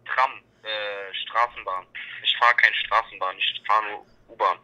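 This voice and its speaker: A man's voice: on the low side, fairly even intonation, measured pace, pushed and bright, lo-fi sound. A young man from Berlin chatting over a random call, blunt and dismissive, full of street slang. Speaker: caller in a random chat. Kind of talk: casual phone chat.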